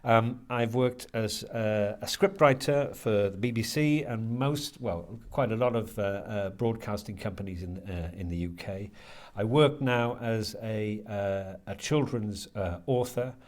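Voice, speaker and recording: A man's voice: lowish voice, darkish tone. Hesitant British man in his fifties. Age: 50s. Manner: hesitant, halting, searching for words with repeated starts. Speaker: interviewee, writer and lecturer. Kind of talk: reflective interview talk.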